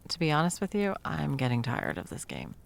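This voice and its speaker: A woman playing a sarcastic, self-doubting, hesitant Christmas elf in an intimate ASMR audio roleplay, with a creaky voice. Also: very deep voice, huge pitch swings, fairly quick, full character voice. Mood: wry, puzzled.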